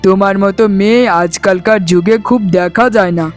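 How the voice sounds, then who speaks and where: A man's voice: high-pitched, steady tone, moderate pace, soft background music, lightly acted. A man voicing a despondent, humble young village husband in a cartoon, with a plaintive manner. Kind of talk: Bengali moral-story cartoon voice acting.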